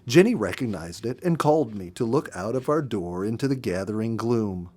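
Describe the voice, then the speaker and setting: A man reading a story aloud as a storyteller, with expressive, unhurried phrasing and dramatic pauses. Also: on the low side, slightly rough. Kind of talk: expressive narrated audiobook reading.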